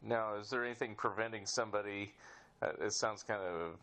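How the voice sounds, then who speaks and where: Low-voiced man hosting a casual interview. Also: a little fast, fairly bright tone. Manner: hesitant, meandering questions. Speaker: podcast host, interviewer.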